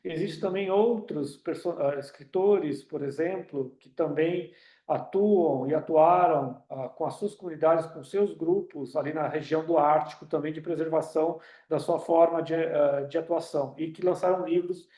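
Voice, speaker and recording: Brazilian guy on a video call, kinda high voice, mellow tone, lots of uhs, echoey room. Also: normal speed. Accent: Brazilian Portuguese. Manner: hesitant, many fillers and restarts. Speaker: union director speaking on a webinar. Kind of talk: online talk with audience questions. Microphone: computer mic on a video call.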